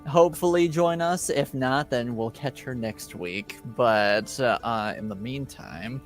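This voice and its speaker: Slow, halting man recapping a tabletop game. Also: medium pitch, soft background music. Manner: halting, hesitant delivery with long filled pauses. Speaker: game master. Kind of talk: recapping a tabletop roleplaying session.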